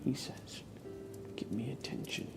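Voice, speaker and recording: A man's voice: measured pace, over music. Whispering man doing casual ASMR. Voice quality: whispered, breathy soft voice. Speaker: livestream host, ASMR creator. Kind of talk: ASMR, casual live chat.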